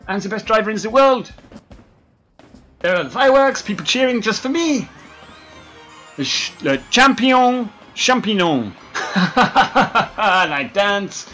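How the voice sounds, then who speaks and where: A man doing comic gameplay commentary in a fake French accent, excitable and hammy, laughing at his own jokes. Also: high-pitched. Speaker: let's play gamer.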